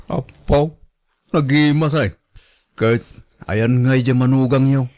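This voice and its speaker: A man's voice: mid-range voice, fairly even intonation, slow pace, a little mellow, no room sound, natural voice. A man playing a concerned young adult son in a radio drama, asking questions in an Ilocano accent. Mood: curious, concerned. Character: inquiring adult son, concerned for his mother.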